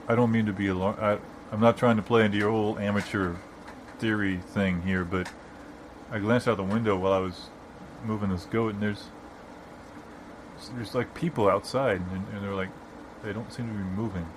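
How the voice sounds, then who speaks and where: A man playing a pedantic, argumentative debater in an improvised audio comedy, restarting his points haltingly and insistently. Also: fairly low pitch, steady tone, noisy background, natural voice. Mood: uneasy, apologetic. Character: indignant, pedantic male debater with muddled logic.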